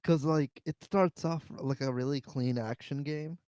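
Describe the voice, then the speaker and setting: Male podcast co-host, mid-pitched, informal and opinionated group chat, dry sound. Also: steady tone, a little slow, a little mellow, no room sound. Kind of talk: casual group discussion.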